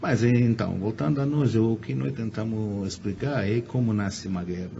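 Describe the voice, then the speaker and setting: A man's voice: fairly low pitch, moderate pace, vocal fry, dark tone. A man from Italy, speaking with an Italian accent, answers in a radio interview, often repeating words while he searches for the right Portuguese. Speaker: guest lecturer. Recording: muffled radio recording with faint noise.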